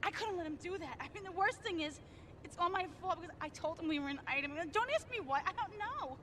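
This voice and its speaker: High, bright, frantic mob girlfriend. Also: expressive, fast, slight background noise. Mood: agitated, pleading. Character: anxious, chatty young mobster's girlfriend, dramatic and flighty. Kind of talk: video game cutscene acting.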